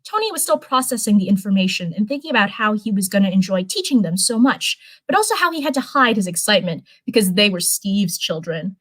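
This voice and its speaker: A woman's voice: medium pitch, sing-song, fairly quick, few pauses, fairly bright tone, dry recording. Woman casually reading a story aloud. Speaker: live streamer reading fanfiction. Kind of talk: casual live read-aloud of a story with chatty asides.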